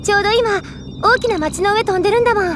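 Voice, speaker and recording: High-pitched spirited teenage heroine. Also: female voice, rapid-fire, background noise. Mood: lively, coaxing. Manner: casual, pushy, expressive. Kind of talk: Japanese game audio drama acting. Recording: music behind the voice.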